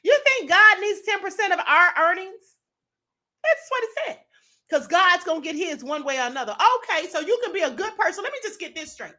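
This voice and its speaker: A woman hosting her own commentary show, reacting to videos in a sassy, animated way and laughing often. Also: very high-pitched, fairly quick. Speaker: show host.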